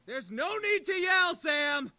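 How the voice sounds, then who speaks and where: A man's voice: very high voice, expressive, measured pace, sharp tone, exaggerated voice. An actor playing an exasperated, scolding young male demon. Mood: exasperated yelling.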